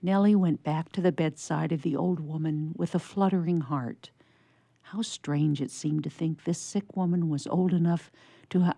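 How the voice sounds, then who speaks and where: A woman's voice: low voice, vocal fry, dry recording. A woman narrating a scripted story for radio theatre, reading as the storyteller. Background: soft plucked harp underscore.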